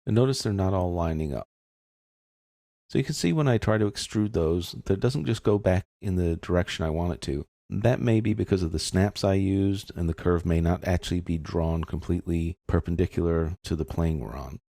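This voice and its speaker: A man narrating a software tutorial step by step, explaining in a casual, informal manner. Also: low voice, moderate pace, vocal fry, no echo, studio quality.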